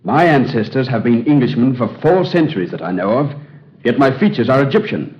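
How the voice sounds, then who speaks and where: Casting: male actor as haunted, earnest English scholar, formal and agonized, widely swinging pitch, dull vintage radio sound. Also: big pitch swings, fairly quick, lightly acted. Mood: pleading, fervent. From England. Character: troubled, earnest English Egyptologist haunted by the past. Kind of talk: old-time radio drama acting. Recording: dull, band-limited old radio recording.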